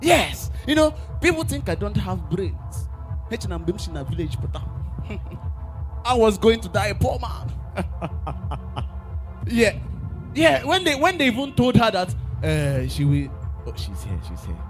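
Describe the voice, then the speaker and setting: An actor playing an excitable aide who laughs and shouts in bursts. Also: male voice, sits high, measured pace, pauses often, faint music behind. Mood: excited. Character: excitable loyal male aide, later furious.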